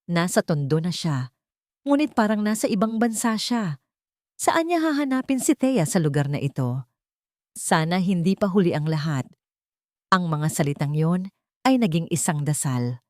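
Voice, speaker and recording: Lowish-voiced woman, very animated dramatic narrator. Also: normal speed, long pauses, dry recording, clean studio sound. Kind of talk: dramatic scripted story narration.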